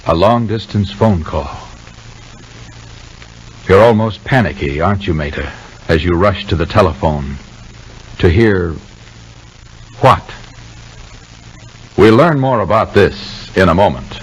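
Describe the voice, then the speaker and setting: A man announcing a 1950s radio serial and its sponsor with theatrical, deliberate rhetorical questions. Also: deep voice, lively intonation, slightly rough, rough recording.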